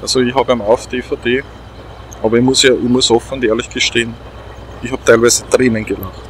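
Austrian man chatting over steady noise. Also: mid-range voice, fairly quick, poor audio. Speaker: host of a gaming let's play. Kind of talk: casual chat commentary over a game.

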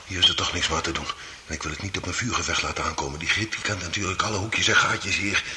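An actor playing a cool, wary criminal in a Dutch radio drama, dry and matter-of-fact. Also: male voice, low voice, fast, natural voice. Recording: echoey, noisy old radio recording.

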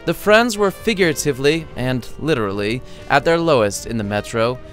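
A man's voice: medium pitch, animated, a little fast, fairly bright tone. A man reading his own short story as scripted narration, with a dramatic, playful storytelling delivery. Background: music under the voice.

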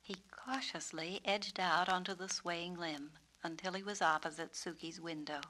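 A woman narrating a children's storybook on a 1970s record, with expressive, deliberate phrasing. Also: fairly low pitch, a little hiss or hum, rough recording. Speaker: author and narrator.

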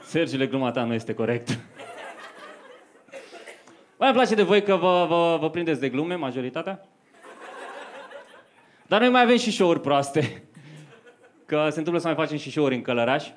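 High-voiced young male stand-up comedian. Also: animated, pauses often. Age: young adult. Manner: conversational storytelling to a live audience. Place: on stage in a dark comedy club. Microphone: handheld microphone. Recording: faint noise, live club stage recording.

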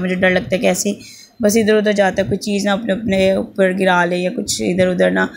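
A woman's voice: mid-range voice, gravelly. A woman in her thirties with a Pakistani Urdu accent, a mother narrating a home cooking vlog in chatty, rambling voice-over, her throat sore and a slight fever on her. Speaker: vlogger, mother. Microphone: voice-over at close mic.

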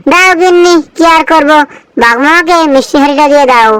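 A woman's voice: sits high, quick pace, a bit bright. A woman voicing an anxious, deferential fox husband in a cartoon, pleading and fretful. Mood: resigned, upset. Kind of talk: cartoon character acting.

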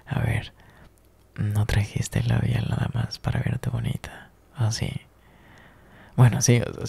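A man doing an intimate ASMR roleplay close to the mic, breathy and mostly whispered, with a flirtatious, teasing, hesitant delivery. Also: mid-range voice, warm tone. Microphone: close ASMR microphone.

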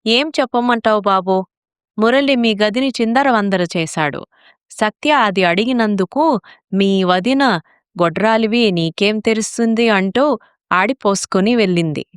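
A woman's voice: mid-range voice, measured pace, clear, resonant tone, no echo, clean studio sound, lightly acted. A woman playing a sly, meddling middle-aged mother in a moral story drama, insinuating and plaintive. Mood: feigned grievance.

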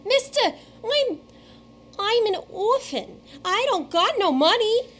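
Woman doing a high bouncy streetwise orphan kid, slangy. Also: lively intonation, takes it slowly, a bit bright, exaggerated voice. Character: scruffy street-wise young orphan boy. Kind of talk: radio drama acting.

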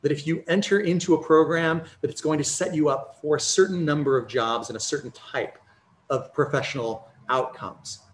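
A middle-aged American man giving a measured, explanatory academic lecture over a video call from a home office, using personal examples. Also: on the high side. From United States. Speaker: conference lecturer. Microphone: video call, computer mic.

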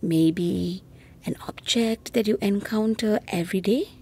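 A woman narrating a scripted guided meditation softly and gently, often close to a whisper, with long unhurried pauses. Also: medium pitch, a little hiss or hum. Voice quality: soft, breathy, often near whisper.

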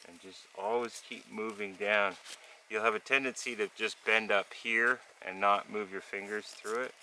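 A man with a low, bright-toned voice talks someone through his craft outdoors in a forest camp. He is relaxed and chuckles softly. Faint outdoor noise hangs in the background. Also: normal speed, rough recording. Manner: relaxed, explaining while working, soft chuckles. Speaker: canoe builder. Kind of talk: casual hands-on instruction.

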